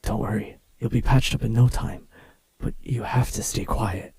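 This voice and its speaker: A man playing a gentle young healer in an anime audio drama, hushed and reassuring. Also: medium pitch, moderate pace, gravelly, husky, dry recording. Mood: soothing. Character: gentle young male healer soothing a patient.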